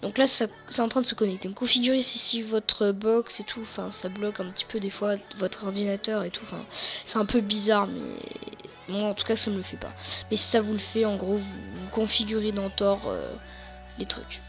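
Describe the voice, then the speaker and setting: A teenage girl talks through a computer tutorial from her desk. Her voice is a bit hoarse and sits at a middle pitch, and she rambles, hesitates and restarts her sentences. Faint music plays behind her. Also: fairly quick. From France. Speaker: tutorial YouTuber. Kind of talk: casual screen-recorded tutorial.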